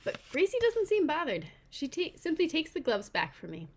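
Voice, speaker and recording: Woman author reading her kids book out loud, super animated, voice goes up and down a lot. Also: high voice, moderate pace. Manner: animated, informal. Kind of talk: expressive read-aloud of a children's novel.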